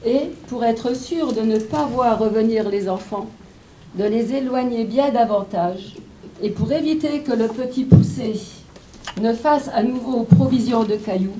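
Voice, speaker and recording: Woman, from Lorraine, storyteller, mellow tone, faint noise. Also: fairly high pitch, a little slow, rough recording. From Lorraine, France. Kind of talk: reading a fairy tale aloud.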